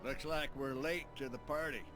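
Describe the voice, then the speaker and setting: An actor playing a crude, sardonic Confederate soldier. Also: male voice, mid-range voice, steady tone, moderate pace, music in the background, close to an everyday voice. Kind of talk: cinematic Western game acting.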